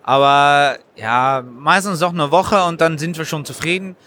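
Bright-voiced man with halting explanations. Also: a little high, a little hiss or hum. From Germany. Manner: halting, explanatory, frequent hesitations. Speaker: sauce maker, guest. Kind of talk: casual interview. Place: trade fair stand.

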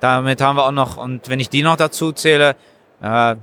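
Bright-voiced man with halting explanations. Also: mid-range voice, fairly even intonation, a little hiss or hum. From Germany. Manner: halting, explanatory, frequent hesitations. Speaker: sauce maker, guest. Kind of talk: casual interview. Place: trade fair stand.

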